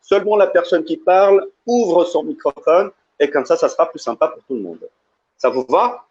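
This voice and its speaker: A man's voice: fairly high pitch, animated, normal speed, a little mellow, breathy edge, dry recording. Hesitant man hosting a video call. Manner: hesitant, many fillers and restarts. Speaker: host of an online guide meeting. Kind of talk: casual group video call. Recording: dull lo-fi video call audio.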